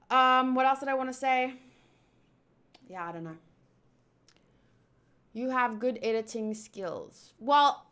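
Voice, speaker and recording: Young woman, afrikaans first language, bright voice, kinda high, rambly livestream chat. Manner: chatty, rambling, with many fillers and restarts. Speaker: streamer answering chat. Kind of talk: casual live-stream chat.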